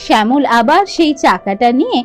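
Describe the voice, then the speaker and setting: A woman narrates an animated village folk tale in a calm, steady storytelling voice. Her voice sits high and moves up and down with lively lift. Faint music plays underneath. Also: normal speed.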